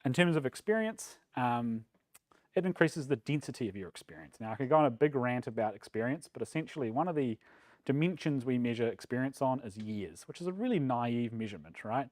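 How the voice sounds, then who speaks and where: Kiwi guy giving a meetup talk, lots of ums, mid pitch, dead dry sound. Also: brisk, no room sound. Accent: New Zealand. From New Zealand. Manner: relaxed, self-deprecating, many ums and uhs. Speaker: conference speaker. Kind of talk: casual tech meetup talk. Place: meetup room.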